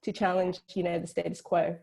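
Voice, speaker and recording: A woman guest speaks casually and reflectively about film work. Her voice is mellow and on the low side, with a strong gravelly creak running through it. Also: little pitch movement, a little fast. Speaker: panel guest, filmmaker.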